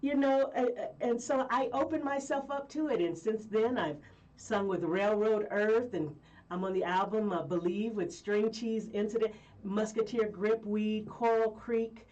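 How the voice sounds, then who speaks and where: A woman from Colorado, a guest singer telling stories in a rambling, lively way with frequent laughs. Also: medium pitch, unhurried, distant mic, lo-fi sound. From Colorado, USA. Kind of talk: casual interview chat.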